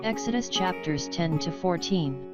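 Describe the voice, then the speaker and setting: A woman's voice: lowish voice, expressive, measured pace. A woman reading a scripted daily devotional and prayer. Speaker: devotional reader. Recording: dull lo-fi audio under music. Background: guitar music.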